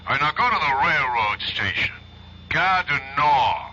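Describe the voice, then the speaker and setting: An actor playing a brisk, authoritative male agency chief heard over a telephone, matter-of-fact, in an old-time radio drama. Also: a little high, animated, sharp tone, husky, dull audio, heavily acted. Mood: brisk, cautioning. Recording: old radio recording, faint noise.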